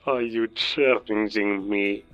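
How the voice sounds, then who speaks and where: An actor playing a cold, taunting werewolf villain in a cinematic audio drama, speaking deliberately and threateningly through a radio filter. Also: male voice, lowish voice, fairly even intonation, fairly bright tone, faint music behind. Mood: cold menace. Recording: muffled lo-fi military radio transmission.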